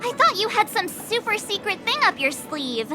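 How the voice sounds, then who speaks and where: A woman's voice: very high-pitched, big pitch swings, normal speed. A woman voicing a small, childlike, cheeky mascot creature in a video game, exclaiming and teasing. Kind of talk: video game character acting. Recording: loud music behind the voice.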